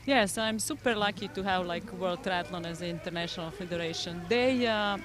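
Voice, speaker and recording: A woman's voice: lowish voice, fairly quick. Serbian-accented woman coach. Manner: earnest, non-native phrasing with fillers. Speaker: head coach of a development team. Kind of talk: live interview. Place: outdoors at a race venue.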